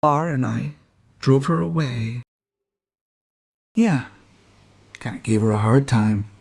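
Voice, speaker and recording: An actor playing a defiant, resentful young out-of-work suspect in a crime radio play, sullen and evasive. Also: male voice, medium pitch, slow pace, creaky, a little mellow, slightly rough, heavily acted. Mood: reluctant. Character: defiant, resentful young unemployed working-class male suspect.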